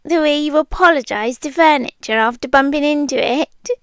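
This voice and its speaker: A British woman playing a shy, smitten under-the-bed creature in an intimate ASMR roleplay, soft-spoken and often whispery, awkward and deadpan. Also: high-pitched, moderate pace, dry recording, clean studio sound. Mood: earnest, confiding. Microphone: close dry microphone.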